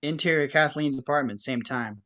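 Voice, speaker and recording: Man narrating stage directions, highish muffled voice. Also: normal speed, fairly bright tone. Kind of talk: scripted narration at an amateur script reading. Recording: dull, muffled home recording.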